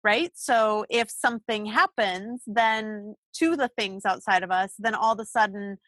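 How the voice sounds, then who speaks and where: A woman co-hosting a podcast in casual chat, succinct and quick to laugh, recorded in a small closet. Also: mid-range voice, a bit bright, dry recording. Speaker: podcast co-host.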